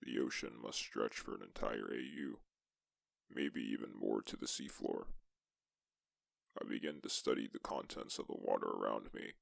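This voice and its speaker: A man narrating as a lone astronaut explorer in a suspense science-fiction story, with a gravelly voice and measured, deliberate delivery. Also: a bass voice, no room sound, heavily acted. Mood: measured, solemn. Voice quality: gravelly, creaky. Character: lone male astronaut explorer recounting a tense exoplanet mission. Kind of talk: scripted first-person sci-fi story narration.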